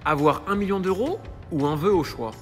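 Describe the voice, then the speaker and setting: A man hosting off camera, reading playful quick-fire questions in a brisk manner. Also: high voice, soft background music. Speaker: interviewer host.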